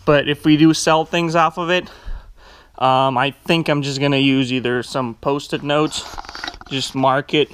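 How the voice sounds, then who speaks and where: A man's voice: on the high side, steady tone, a little slow, fairly bright tone, clean tone, no room sound. A young man giving an informal walk-through, thinking aloud into a handheld action camera in a large warehouse. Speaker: vlogger, small business owner. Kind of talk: casual walk-through vlog narration. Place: large metal warehouse, indoors. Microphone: handheld action camera at arm's length.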